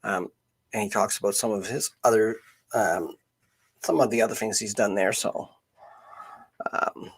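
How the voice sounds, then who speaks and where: A man chatting unscripted as host of a live stream, halting and searching for words. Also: lowish voice, gravelly, breathy edge.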